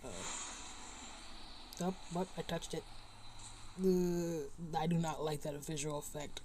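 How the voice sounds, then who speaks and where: A woman's voice: sits low, steady tone, takes it slowly. A woman streamer chatting casually while she plays a video game. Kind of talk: casual gameplay chat. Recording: clearly noisy stream audio.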